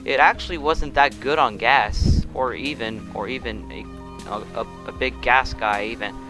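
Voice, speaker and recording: A man's voice: medium pitch, measured pace, over music. A young man hosting a casual car review of a game vehicle, rambling with many restarts and fillers. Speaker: car review channel host.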